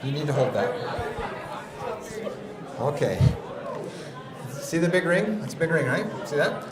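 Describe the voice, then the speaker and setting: A man presenting a live seminar, joking with the audience and calling up volunteers in an energetic way. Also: fairly high pitch, a little slow. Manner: energetic, joking, drives the crowd. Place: large hall with live audience. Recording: echoey hall with crowd noise.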